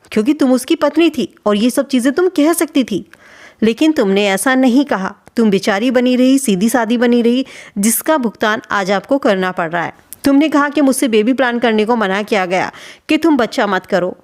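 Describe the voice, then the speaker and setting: A woman hosting a video channel, giving gossip commentary in a reproachful, questioning way, addressing people directly. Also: on the high side. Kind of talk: spoken commentary on vlogger gossip.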